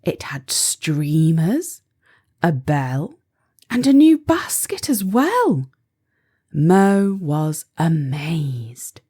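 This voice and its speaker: A woman storyteller reading a children's picture book aloud in an expressive, deliberate way for young listeners. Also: sits low, breathy edge. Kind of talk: scripted children's audiobook narration.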